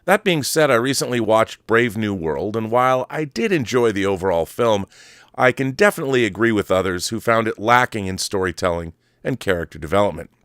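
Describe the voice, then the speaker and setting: A man's voice: fairly low pitch, animated, brisk, fairly bright tone, no echo, studio quality. A man hosting a solo podcast, talking casually and reading aloud with a theatrical, wordy showman's manner. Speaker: podcast host.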